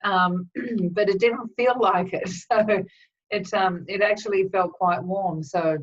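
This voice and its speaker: A woman energy healer hosting a live webinar with a slightly croaky throat, chatting casually with lots of ums and greeting viewers by name. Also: medium pitch, steady tone, takes it slowly. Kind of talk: casual live-stream talk. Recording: distant, dull lo-fi webinar audio.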